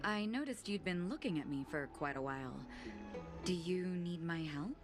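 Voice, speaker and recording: A woman's voice: fairly low pitch, animated, normal speed, close to an everyday voice. A woman voicing a helpful, composed guard in a fantasy video game, polite and direct. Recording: game music behind the voice.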